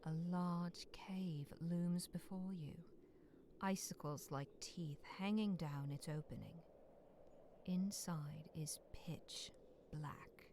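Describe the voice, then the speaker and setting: Solemn, lower-pitched woman narrating a story. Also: a little mellow, slight background noise. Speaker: narrator of a visual novel. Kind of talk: scripted second-person dramatic story narration.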